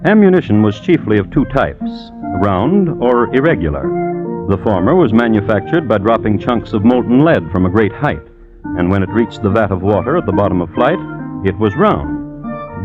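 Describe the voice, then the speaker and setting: Wry crime narrator, deadpan, mock-scholarly, vintage radio sound, clear music behind. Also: male voice, fairly low pitch, moderate pace, dull audio. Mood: dry, wry. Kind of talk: scripted old-time radio narration.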